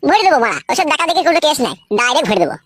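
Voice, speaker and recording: A brash young cartoon boyfriend played by a voice actor with a high, pitched-up voice. He rattles along very fast and swoops widely, crude and pushy in every phrase. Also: big pitch swings, a bit bright, no room sound, clean studio sound. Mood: lecherous boasting. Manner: brash, foul-mouthed. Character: crude, pushy, money-minded young male lover. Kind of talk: crude comic cartoon dialogue.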